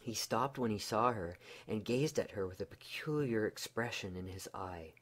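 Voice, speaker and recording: A man narrating a bedtime story in a measured, mock-solemn way, phrase by phrase. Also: lowish voice, fairly bright tone, slight background noise.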